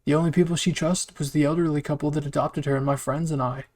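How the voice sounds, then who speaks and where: Earnest teen boy soldier in an anime story, voiced by a man, friendly, slightly high. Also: steady tone, fast, a little mellow, natural voice.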